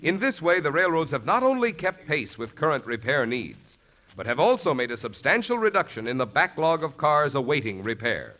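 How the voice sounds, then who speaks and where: A polished American male radio announcer reading formal scripted copy. His voice is bright and slightly high, with lively pitch movement, on a vintage 1950 broadcast recording. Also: moderate pace. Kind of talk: scripted 1950s radio sponsor narration.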